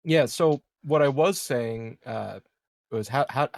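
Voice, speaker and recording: A man playing a tabletop roleplaying game over voice chat, stammering through his sentences. He swears casually and delivers his jokes deadpan. His voice is dry and close to the mic. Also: mid-range voice, takes it slowly, gravelly, no echo. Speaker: player.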